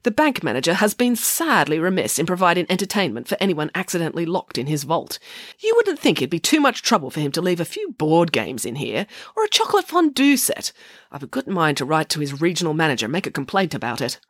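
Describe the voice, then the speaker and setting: A woman playing a bossy storybook pig nanny, full of indignation. She speaks emphatically and haughtily, with sweeping pitch and a dry sound. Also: sing-song, fast talker, no echo. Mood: outraged, haughty.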